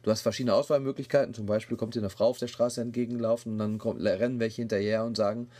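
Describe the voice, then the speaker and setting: A man's voice: lowish voice, steady tone, fast, warm tone. A man hosting a podcast, giving a casual, colloquial and rambling review. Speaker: podcast host reviewing an app. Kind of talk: casual chat, informal review.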